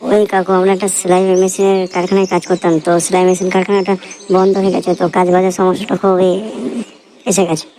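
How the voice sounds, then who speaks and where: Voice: medium pitch, monotone, fast talker, dark tone, slight background noise, natural voice. A performer voicing a broke young labourer in a comedy cartoon, speaking colloquially and repeating short phrases. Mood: complaining, sheepish. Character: broke young labourer, foul-mouthed, easygoing, looking for work.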